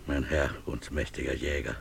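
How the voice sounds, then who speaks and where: An actor playing a humble animal keeper in a radio drama, halting and deferential as he implores. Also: extremely low pitch, flat delivery, gravelly, slight background noise. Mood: humble, urgent pleading. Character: tall, strangely dressed animal keeper, humble and pleading. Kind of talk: mid-century German literary radio drama acting.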